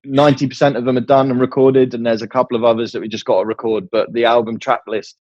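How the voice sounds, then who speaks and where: A man's voice: mid-range voice, level tone, fast, darkish tone, no room sound, muffled recording. A man chatting informally and candidly in an interview as a band musician, in a rambling way. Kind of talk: casual interview chat.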